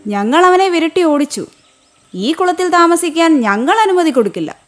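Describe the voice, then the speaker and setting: Woman as a high-pitched, vain cartoon fish. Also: big pitch swings, moderate pace, soft background music. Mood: haughty. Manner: haughty, mocking. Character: vain, scornful female pond fish. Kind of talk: children's cartoon story voice acting.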